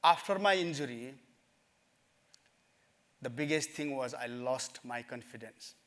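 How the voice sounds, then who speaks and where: A man's voice: medium pitch, lively intonation, a bit bright. Earnest man telling his story. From Nepal. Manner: earnest, halting, with frequent fillers. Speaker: speaker at a summit. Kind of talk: personal story talk. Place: conference stage.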